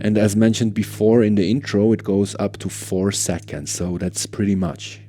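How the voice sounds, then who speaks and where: A man's voice: fairly low pitch, fairly even intonation, normal speed, warm tone, soft background music. A man talking casually and musingly as he hosts a gear demo, often checking himself with a tentative "right?". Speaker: guitar gear reviewer and host. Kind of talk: casual product demo talk.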